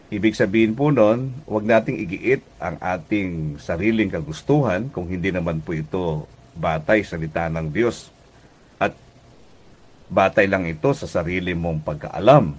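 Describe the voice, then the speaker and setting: A Filipino man preaching a devotional radio message as pastor and host, deliberate and emphatic, often checking in with listeners. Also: deep voice, slightly rough. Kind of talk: devotional radio sermon in conversational teaching tone.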